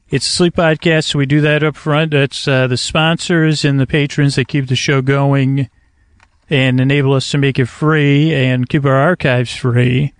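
Creaky guy podcast host, soothing rambly sleep talk, keeps trailing off and correcting himself, no room echo at all. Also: fairly high pitch. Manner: meandering, tangent-prone, halting with self-corrections; soothing, lulling delivery. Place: outdoors, in a kayak on a river.

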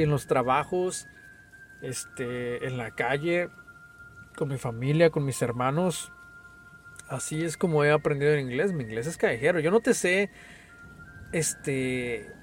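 A man's voice: a little high, a little mellow. A young Mexican man with a Mexican accent, chatting casually on a podcast, full of slang and swearing. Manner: slangy, swears a lot, says "güey" constantly. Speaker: podcast co-host. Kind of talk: casual chat.

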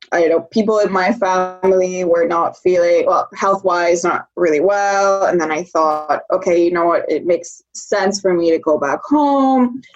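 A woman's voice: on the low side, lo-fi sound. A young woman from Poland in a casual conversation, thinking aloud and often saying "like". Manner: reflective, uses "like" often.

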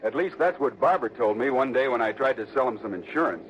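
A man's voice: mid-range voice, expressive, fast, lightly acted. An actor playing a chatty, fair-minded American insurance salesman who hedges and hesitates, in early sixties radio drama style. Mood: explanatory. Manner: hesitant, hedging, colloquial. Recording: muffled, noisy vintage radio recording.

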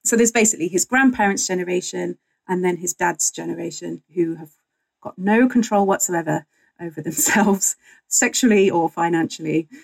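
A woman's voice: on the low side, moderate pace, vocal fry, low-quality recording. A woman talking conversationally as a guest historian in an interview, candid and wryly humorous.

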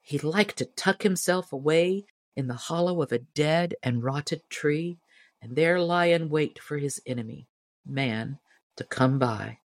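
A woman narrating a storybook tall tale in a measured, expressive storytelling manner. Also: low-pitched, no echo, clean studio sound. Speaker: audiobook reader and storyteller.

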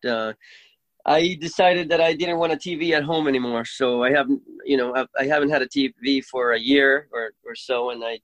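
A man with a Venezuelan accent, a native Spanish speaker, chatting casually as a guest and telling stories excitedly, swearing freely. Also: medium pitch, fairly quick, no room sound, poor audio. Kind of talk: casual chat.

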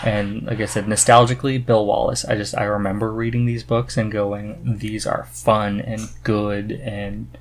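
A man hosting a podcast in casual chat, halting as he searches for words. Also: lowish voice, level tone, slight background noise. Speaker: podcast host.

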